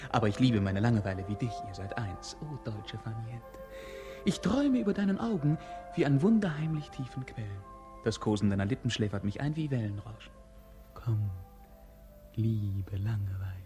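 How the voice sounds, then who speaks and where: An actor playing a bored, capricious young prince in a 1950s German radio play, theatrical, poetic and mocking. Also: male voice, fairly low pitch, animated, normal speed, mellow, faint music behind, lightly acted. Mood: teasing, bored, then languid, dreamy.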